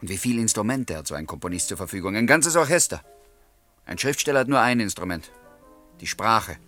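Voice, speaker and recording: A low-voiced man, a wry and courteous writer from a radio play. He narrates confidingly and speaks with theatrical politeness, with music in the recording. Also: expressive, fairly quick, sharp tone. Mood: mock indignant. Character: male writer, wry narrator, courteous and gently wooing a lodger. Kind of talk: German radio play acting, narration and dialogue.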